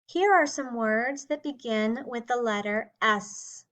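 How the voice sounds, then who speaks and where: Slow, lively-voiced woman teacher. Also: a little high. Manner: slow, clearly spoken teaching voice with drawn-out words. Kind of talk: slow English lesson for young learners.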